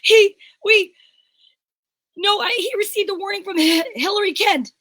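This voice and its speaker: A flustered, excitable police inspector from an old-time radio detective play, acted by a woman. The voice is extremely high and sharp, recorded dry, with melodramatic, agitated delivery. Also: normal speed, no room sound. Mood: alarmed, explaining.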